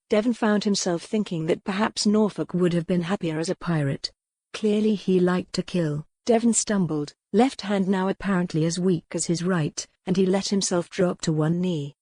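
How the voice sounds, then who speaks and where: A woman narrates a historical romance novel. Her voice sits a little low and is notably mellow and relaxed in tone. The recording is very dry, as an audiobook read. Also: no room sound.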